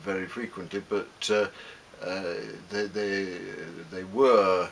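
Elderly British man, deep and unhurried, halting interviewee recalling memories, hissy home recording. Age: 70s. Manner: halting, hesitant, with many pauses. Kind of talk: reminiscing in an interview. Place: small book-lined room at home. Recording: steady background hiss.

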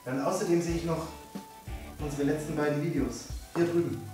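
A man's voice: fairly high pitch, steady tone, a little fast, mellow, heavy reverb, far from the mic, faint music behind. A young man hosting a comedy channel in a jokey, playful way, sitting on a living-room couch.

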